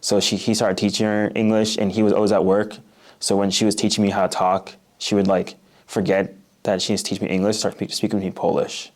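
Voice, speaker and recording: A young man from the Midwest in his late teens, speaking in a fairly low voice. He chats loosely and drops in plenty of filler words. The accent is relaxed and Midwestern American. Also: brisk. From Oak Park, Illinois, USA. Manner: casual, uses many "like" fillers. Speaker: interviewee. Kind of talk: read-aloud passage and casual interview.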